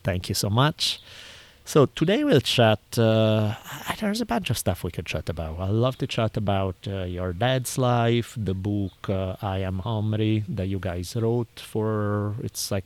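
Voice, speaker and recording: Low-voiced Italian-accented male host. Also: measured pace, dry recording. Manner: relaxed, rambling, laughs often. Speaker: podcast host. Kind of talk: casual interview chat.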